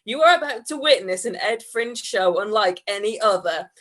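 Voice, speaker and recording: A woman's voice: medium pitch, lively intonation, moderate pace, fairly bright tone. A woman voicing an exasperated male amateur performer turned bank robber in a comic story, theatrical and sarcastic. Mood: showman.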